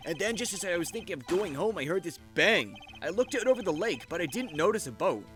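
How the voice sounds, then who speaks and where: A man's voice: high voice, very animated, fairly quick, a bit bright, faint music behind. A man voicing a brash, defensive young slacker witness in a video game fandub, whiny and slangy. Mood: earnest.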